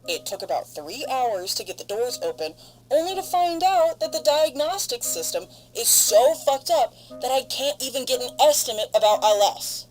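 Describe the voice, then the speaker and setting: A woman playing a frightened, foul-mouthed stranded spaceship crew member, recording halting, weary log entries in an audio drama. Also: sits high, very animated, rough recording. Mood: furious.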